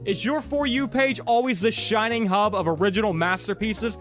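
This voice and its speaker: A man ranting sarcastically about his frustrations, his voice hoarse from being sick. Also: high voice, normal speed, a bit bright, faint music behind. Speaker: content creator. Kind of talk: ranting commentary video.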